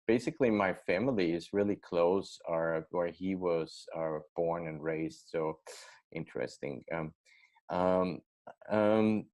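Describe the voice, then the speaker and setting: Austrian-accented man, halting speech. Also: low voice, a little mellow. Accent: Austrian German. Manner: hesitant, many fillers and restarts. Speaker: guest. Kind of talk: casual interview.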